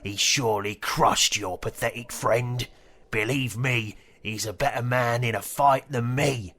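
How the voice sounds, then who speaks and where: Husky, gloating cult henchman. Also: medium pitch, fairly bright tone. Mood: smug taunting. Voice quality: rough, husky. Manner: slow, taunting. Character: defiant, gloating male cultist henchman. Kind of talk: video game voice acting.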